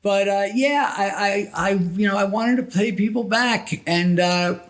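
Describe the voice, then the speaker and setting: A middle-aged man telling stories casually on a podcast, rambling and halting, often repeating phrases as he goes. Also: sits high, fairly bright tone. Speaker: podcast host.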